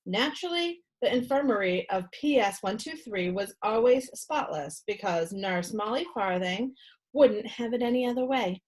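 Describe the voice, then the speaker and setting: A woman reading a storybook aloud to children in an expressive storyteller's style. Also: fairly high pitch, normal speed, poor audio. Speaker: read-aloud host and storyteller.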